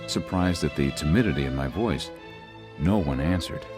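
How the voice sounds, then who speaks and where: A male actor as a young lawyer recounts an audio ghost story in a very low voice. His measured, literary delivery swings widely in pitch for drama, growing wary and uneasy. Faint music runs underneath. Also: animated, darkish tone. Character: young lawyer narrating his eerie stay, uneasy, athletic man. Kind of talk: scripted first-person ghost story narration.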